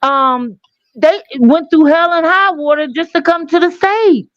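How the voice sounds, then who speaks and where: A woman's voice: very high voice, a little slow, fairly bright tone, no echo, lo-fi sound. A woman from Trinidad, a caller in a casual live chat, insistently repeating her point in a heated debate.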